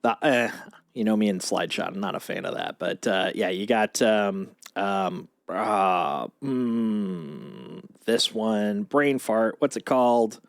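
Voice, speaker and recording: A man's voice: fairly low pitch, a little slow, no echo, clean studio sound. A man chatting casually and in a rambling way as he live streams a video game. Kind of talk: casual gaming stream chat.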